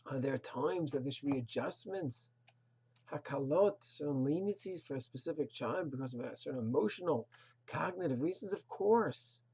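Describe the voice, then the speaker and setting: A rabbi delivers a Torah lesson in a husky, slightly breathy voice. His tone is dark and mellow, earnest and moralizing, and he leans on rhetorical questions and Hebrew terms. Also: fairly high pitch, animated, normal speed. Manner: sprinkles Hebrew and Yiddish terms. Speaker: rabbi teaching a Torah class. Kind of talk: recorded religious lecture.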